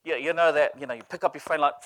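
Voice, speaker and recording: A man from Samoa, speaking, gives a chatty, story-driven sermon. His voice sits high and he jokes and laughs freely with the congregation. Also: very fast, poor audio. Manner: joking, animated, laughs with the room. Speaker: preacher.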